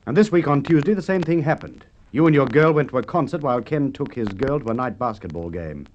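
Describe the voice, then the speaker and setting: An actor playing a firm, methodical police inspector with an Australian accent, in Golden Age radio drama style. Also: male voice, medium pitch, expressive, brisk, darkish tone, lightly acted. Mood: methodical, probing. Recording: dull, hissy old radio recording.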